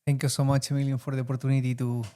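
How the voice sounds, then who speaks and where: Chilean man, smooth and mellow voice. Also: medium pitch, level tone. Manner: halting, searching for words with frequent fillers. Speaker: guest, former student. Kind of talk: interview answers.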